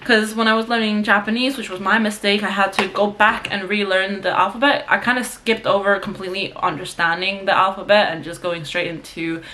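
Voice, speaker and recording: A woman's voice: mid-range voice, steady tone, fairly quick, few pauses, sharp tone, slight background noise. A young woman from Sweden who vlogs, chatting to her camera in a casual, rambling way, with plenty of fillers and restarts. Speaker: vlogger.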